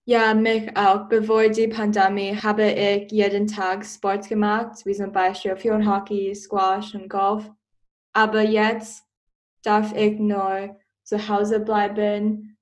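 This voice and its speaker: Slow, flat teen girl. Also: mid-range voice. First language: Chinese. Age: teens. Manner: careful, asks questions. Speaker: student podcaster. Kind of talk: casual chat.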